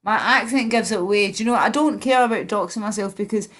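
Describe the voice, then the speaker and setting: A woman's voice: mid-range voice, fast, a bit bright. A woman with a Glaswegian Scottish accent hosting a casual livestream chat, rambling and blunt, swearing freely. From Scotland. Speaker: livestream host.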